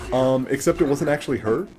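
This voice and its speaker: Man explaining a game over music. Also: medium pitch. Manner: explanatory, conversational. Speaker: gaming video host. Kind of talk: casual game commentary.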